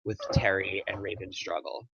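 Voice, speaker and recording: A man's voice: sits low, monotone, normal speed, gravelly, lo-fi sound, background noise. A man giving casual critique in a group discussion, tentative and thinking aloud as he goes. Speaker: table read participant giving script notes.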